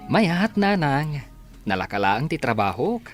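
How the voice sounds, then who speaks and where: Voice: medium pitch, very animated, measured pace, soft background music. A performer acting a shy, meek, hardworking grown son in a radio comedy drama. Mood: gentle.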